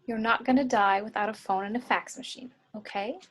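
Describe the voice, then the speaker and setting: A woman playing a patient, soothing mother in her early thirties, calm in a screenplay reading. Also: fairly high pitch, a little fast.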